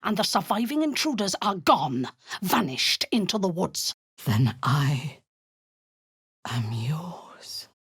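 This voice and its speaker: An actress voicing a cold, imperious woman warrior-commander and zealous cultist in a video game, speaking in a low, husky, half-whispered menace. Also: very animated, slow pace, a bit bright, no room sound, clean studio sound, heavily acted. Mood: menacing. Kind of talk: video game character acting.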